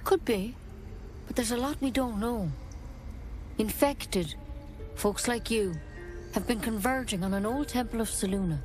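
A woman playing an earnest, knowledgeable druid in a video game, speaking in a frank, measured, explanatory way. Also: fairly high pitch, darkish tone, faint music behind, natural voice. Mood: earnest, urgent. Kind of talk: video game dialogue acting.

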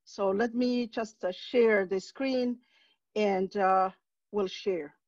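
A middle-aged woman from Somalia with a Somali accent, giving an academic lecture on a video call from her home, halting with many fillers and restarts. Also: mid-range voice, fairly even intonation, darkish tone, no room sound. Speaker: guest lecturer, professor. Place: home room, indoors. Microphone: headset. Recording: dull dry video-call audio.

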